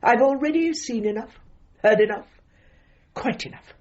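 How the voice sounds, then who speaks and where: An actress playing an opinionated older British dog trainer, a widow who speaks formally and precisely in a radio comedy drama. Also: female voice, a little high, normal speed, lo-fi sound, close to an everyday voice. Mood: indignant, world-weary. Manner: formal, emphatic, precise, old-fashioned phrasing.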